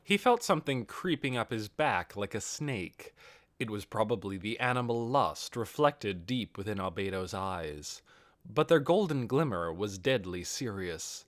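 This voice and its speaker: A man reading a fantasy novel aloud as expressive scripted audiobook narration. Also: on the low side, a little slow, dry recording. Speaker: audiobook reader.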